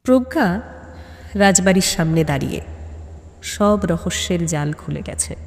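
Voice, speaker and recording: A woman's voice: on the high side, a little mellow. A woman, reading a mystery story as a dramatic scripted narration. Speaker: audiobook narrator. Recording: long hall reverb.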